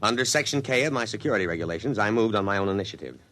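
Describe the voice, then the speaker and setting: Middle-aged officer, pompous and ambitious, officious diction, lively low voice, vintage radio play. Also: male voice, very fast. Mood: smug narrating. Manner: clipped, officious military delivery. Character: pompous, ambitious middle-aged army colonel, scheming and stiff. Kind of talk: radio drama acting with first-person narration. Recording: vintage radio drama recording with music.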